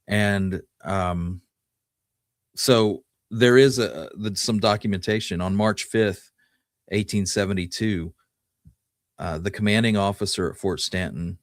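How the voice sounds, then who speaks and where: A man's voice: fairly low pitch, a little slow, no room sound, clean studio sound. A man hosting a talk show, telling history in a casual, conversational way and explaining it in detail. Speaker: podcast host.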